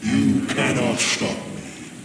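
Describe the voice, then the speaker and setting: An actor playing a devilish, gloating villain father in a video game, grandiose and cruel. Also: close to an everyday voice. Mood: gloating menace. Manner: slow, grandiose, menacing. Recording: cavernous reverb, heavy rain and thunder noise.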